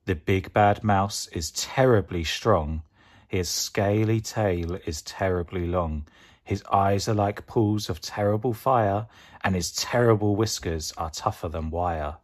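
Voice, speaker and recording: A man's voice: low voice, takes it slowly. A man voicing a big monster father in a storybook, solemn and full of warning. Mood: ominous.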